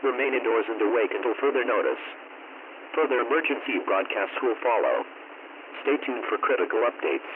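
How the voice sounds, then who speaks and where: A man's voice: medium pitch, lively intonation, fairly quick. Tinny, noisy male emergency alert announcer. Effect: radio alert filter. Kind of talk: scripted emergency broadcast announcement. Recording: tinny narrow-band radio, loud static noise.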